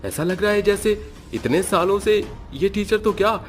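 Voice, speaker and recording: A man's voice: high voice, normal speed, faint music behind, pitched above the performer's own voice, close to an everyday voice. A man voicing a worried old village headman in a horror story, earnest and questioning. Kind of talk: acted story dialogue.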